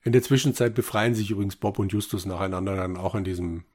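A man's voice: sits low, quick pace, a little mellow. A man co-hosting a podcast, casually retelling an audio drama episode and acting out quoted bits as he goes. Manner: acts out quoted lines while retelling. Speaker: podcast co-host.

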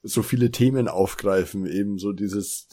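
A man's voice: on the low side, normal speed, dark tone. A man co-hosting a podcast, enthusiastically retelling an audio drama in casual conversation and quoting the characters as he goes. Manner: enthusiastic, quotes characters' lines while retelling. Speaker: podcast co-host.

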